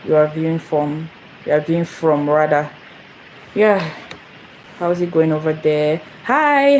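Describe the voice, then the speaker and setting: A woman's voice: low-pitched, darkish tone, slight background noise, poor audio. A young woman from Nigeria hosting a casual phone livestream, rambling and repeating herself as she greets viewers. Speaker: channel host. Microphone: phone camera.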